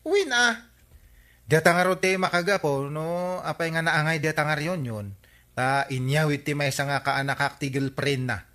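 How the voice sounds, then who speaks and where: An actor playing an excitable, easygoing village captain in an Ilocano radio comedy, with an Ilocano accent and a puzzled, exclamatory manner. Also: male voice, a little high, moderate pace. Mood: chatty. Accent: Filipino, Ilocano.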